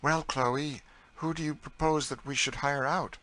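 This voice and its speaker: A man voicing a kindly, composed mistress of a household in an audiobook, speaking in a proper, measured manner. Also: a little high, steady tone, pushed and bright, pitched above the performer's own voice. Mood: questioning. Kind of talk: audiobook character dialogue.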